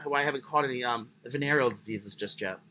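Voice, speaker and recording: Man with a high voice, bright and sharp tone, crude rambling podcast chat, self-mocking. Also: fast, a little hiss or hum, poor audio. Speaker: podcast co-host. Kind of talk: casual chat.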